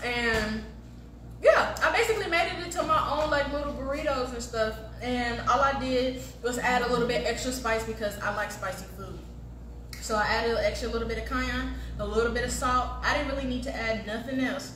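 A woman's voice: fairly high pitch, fairly quick, fairly bright tone. An American woman in her early twenties chatting casually as a guest taste tester, explaining things in slangy terms. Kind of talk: casual chat. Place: home kitchen, indoors. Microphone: phone camera a few feet away. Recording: echoey, distant, clearly noisy kitchen recording.

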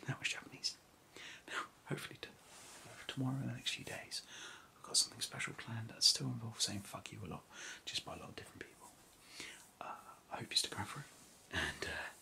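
A man vlogger softly whispering foreign words and their translations, with chuckling asides in a mock-foreign pronunciation. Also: medium pitch, gravelly.

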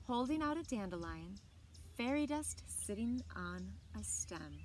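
Unhurried woman reading a picture book outdoors. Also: medium pitch, expressive, a little mellow. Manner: measured, deliberate phrasing with dramatic emphasis. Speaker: library story time reader. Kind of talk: expressive children's picture book read-aloud. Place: outdoors on a lawn by trees. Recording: outdoor background noise with birdsong. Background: outdoor ambience, birds.

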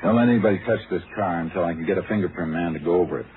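An actor playing a calm, businesslike Texas Ranger investigator in a 1950s radio drama. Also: male voice, sits low, racing, lightly acted. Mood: calm, probing. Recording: noisy, tinny 1950s radio recording.

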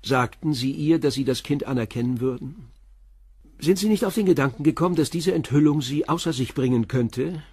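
Middle-aged police inspector in a radio crime play, husky voice, calm but keeps pushing, very dry sound. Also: male voice, mid-range voice, no room sound. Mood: insistent, confronting. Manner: probing, measured, blunt questioning. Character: calm, persistent middle-aged male police commissioner interrogating a suspect.

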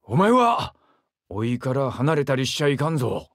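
An actor playing a brash, warm-hearted young seaman in a Japanese mobile game, with a southern Japanese dialect and a husky, gravelly, boisterous delivery. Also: mid-range voice, very animated, moderate pace, no room sound, clean studio sound. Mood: affectionate, earnest. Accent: southern Japanese dialect, Kagoshima-like. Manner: boisterous, rustic, swinging from bashful murmurs to hearty shouts. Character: brash, warm-hearted young muscular seaman, eager big-brother type.

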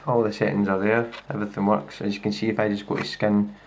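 A man with a Scottish accent gives a casual, hands-on demo of a laptop, talking in a relaxed way with lots of fillers and restarts, recorded on a handheld camera indoors. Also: fairly low pitch, quick pace, muffled recording, a little hiss or hum. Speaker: tech reviewer. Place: indoors at a wooden table. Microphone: handheld camera filming a laptop screen.

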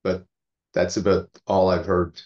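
A man giving an informal technical update on a video call in a measured, halting way. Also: low voice, little pitch movement. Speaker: meeting participant giving updates. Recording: dull video call audio.

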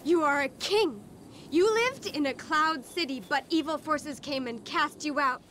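High-voiced, preachy woman cult zealot. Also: measured pace, a bit bright, slight background noise. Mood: grandiose. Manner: dramatic, preachy, condescending. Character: zealous female cult recruiter, preachy, grandiose, spacey. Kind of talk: video game cutscene acting. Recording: music under the voice.

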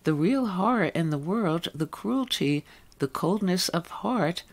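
A woman's voice: on the low side, animated, a little slow, breathy edge. Female radio interviewer asking prepared questions. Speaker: radio show host, interviewer.

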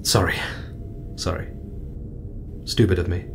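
A deep-voiced male astronaut in charge of a mission , played by an actor. He is reflective and gentle, quick to apologise, with hesitant musing delivery. It is a naturalistic sci-fi audio drama over a clearly audible rumble. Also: steady tone. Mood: apologetic, reassuring. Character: thoughtful, apologetic male space mission leader, a doctor. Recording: clear low rumbling noise.

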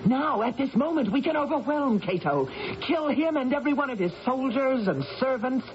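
A woman's voice: sits high, animated, moderate pace, a little hiss or hum. An actress playing a proud, vengeful ancient British queen with theatrical, impassioned delivery in a 1980s radio drama. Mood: defiant, impassioned. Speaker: radio actor. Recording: muffled 1980s radio broadcast.

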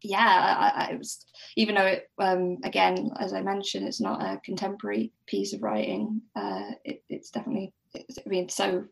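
A woman's voice: medium pitch, lively intonation, normal speed, vocal fry, low-quality recording. A young woman answering interview questions casually as a podcast guest, in a hesitant, thoughtful manner.

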